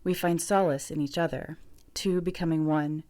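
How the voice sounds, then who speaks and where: A woman's voice: deep voice, fairly even intonation, normal speed, darkish tone. A woman giving a scripted reading of lyrical, literary prose. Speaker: reader of a literary piece.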